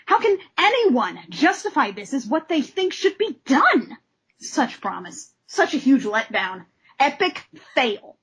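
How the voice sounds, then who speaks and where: A woman co-hosting a comic discussion podcast, expressive and theatrical when she reads aloud. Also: sits high, unhurried. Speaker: podcast co-host. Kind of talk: comic discussion podcast with dramatic reading of letters.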